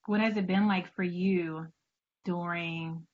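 A woman's voice: lowish voice, steady tone, slow pace. Slightly lo-fi recording of an upbeat woman host, chatty interview style, encouraging. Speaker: show host. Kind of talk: conversational interview hosting.

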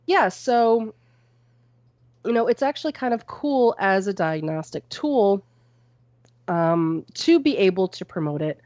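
A woman co-hosting a podcast from home on a boom-arm mic. She reads out a news story and then chats about it loosely, with plenty of "um" and "you know". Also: animated, a little slow, long pauses, darkish tone, no echo, lo-fi sound. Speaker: podcast co-host reading news. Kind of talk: casual news chat. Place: at home with a cat nearby. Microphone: microphone on a boom arm.

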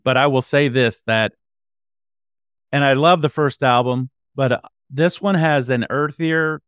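A man's voice: medium pitch, unhurried, fairly bright tone, smooth, no room sound, clean studio sound. A man co-hosting a casual interview, offering his own comments conversationally. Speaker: interview co-host.

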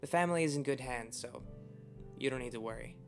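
A man's voice: mid-range voice, fairly quick, soft background music, natural voice. An actor playing a teasing, affectionate younger man recording an informal message to his sister in a radio play. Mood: sincere, fond. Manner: chatty, wry, joking. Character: Greg, teasing affectionate young brother of the bride.

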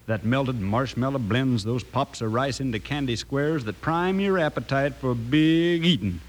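A man playing a folksy, enthusiastic cowboy pitchman with an American Western accent in an old radio commercial. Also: a little slow, close to an everyday voice.

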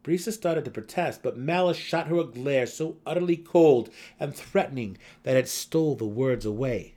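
A man giving a dramatic, theatrical reading of a fantasy novel as an audiobook narrator, with measured phrasing. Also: medium pitch, lively intonation, mellow.

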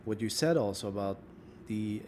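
A man's voice: on the low side, moderate pace, mellow, slight background noise. A man interviewing a guest in a casual chat, thinking aloud and filling his questions with "like". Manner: rambling, thinks aloud with many "like"s.

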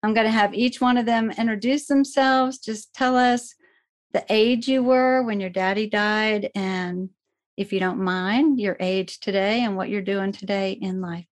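A woman hosting a faith-based podcast, speaking gently as she introduces her guests. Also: mid-range voice, fairly even intonation, measured pace. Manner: gentle, reads a listener's letter aloud. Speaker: podcast host.

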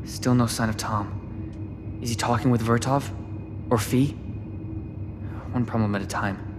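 A man's voice: medium pitch, fairly even intonation, measured pace, close to an everyday voice. A man playing an anxious, defensive teenage boy stranded and searching, in an audio fiction drama. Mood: worried, searching. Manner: hesitant, stammering when challenged. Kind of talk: audio fiction drama acting with spoken thoughts. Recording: voice over prominent music bed. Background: dramatic music.

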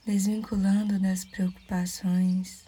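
A Brazilian woman leading a guided meditation in a soft, breathy near-whisper, calm and soothing, leaving measured pauses. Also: mid-range voice, low-quality recording.

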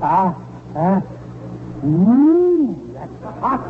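High-pitched, exasperated radio sitcom dad. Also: male voice, big pitch swings, extremely slow, heavily acted. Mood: yelping, pained. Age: middle-aged. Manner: stammering, whining, protesting. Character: grumpy, put-upon middle-aged suburban father, exasperated. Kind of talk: 1940s radio situation comedy acting. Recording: noisy, tinny 1940s radio broadcast.

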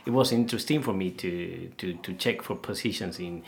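Deep gravelly Venezuelan-born man. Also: a little fast. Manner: animated, repeats words while searching, laughs easily. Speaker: interview guest, geologist. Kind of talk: casual conversation.

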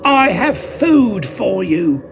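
A man's voice: high-pitched, big pitch swings, drawn-out words, creaky, music in the background, voice pushed up, exaggerated voice. A man voicing a manic, sinister magus who summons a demon with theatrical, hissing commands. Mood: commanding.

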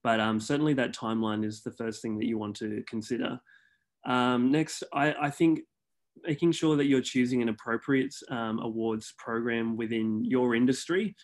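A man explaining the award submission process on a webinar in a measured way, often pausing on ums. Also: mid-range voice, steady tone. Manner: measured, many ums and restarts. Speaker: awards consultant guest.

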